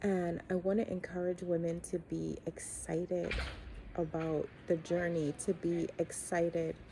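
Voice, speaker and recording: A middle-aged woman giving an earnest, encouraging, faith-based motivational talk, speaking deliberately with frequent pauses. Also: fairly low pitch, level tone, background noise. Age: 40s or 50s. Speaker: coach and host giving encouragement.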